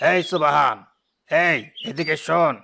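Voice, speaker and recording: A man's voice: fairly high pitch, a little slow, pushed and bright, slight background noise, exaggerated voice. A man playing an arrogant rich village boss in a cartoon, talking in a mocking, bossy way. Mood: mocking, scornful. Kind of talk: cartoon dialogue. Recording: clear music bed behind the voice.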